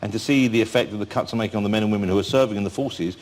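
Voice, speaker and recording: A man's voice: fairly low pitch, very fast, a little mellow. A man hosting a chat show in a TV studio, teasing his guest playfully and tripping over his words. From England. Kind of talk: joking late-night chat show interview. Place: TV studio with audience. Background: studio audience laughter.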